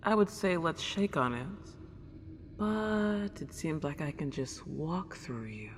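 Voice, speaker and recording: A woman voicing a polite, excitable century-old ghost girl in an ASMR roleplay, with old-fashioned genteel wording and gushing wonder. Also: low voice, takes it slowly, exaggerated voice. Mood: anxious, coy. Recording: long reverb, clear background noise.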